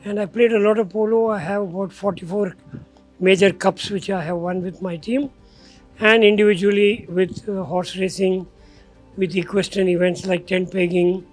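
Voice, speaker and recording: Older man, high-pitched voice. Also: fairly even intonation, moderate pace, soft background music, low-quality recording. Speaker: riding school founder. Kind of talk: documentary interview.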